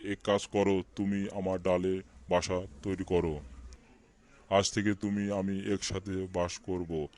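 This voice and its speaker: A man voicing a kind, protective talking tree in a cartoon, gentle and consoling. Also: deep voice, level tone, slow. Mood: kindly. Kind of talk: cartoon voice acting.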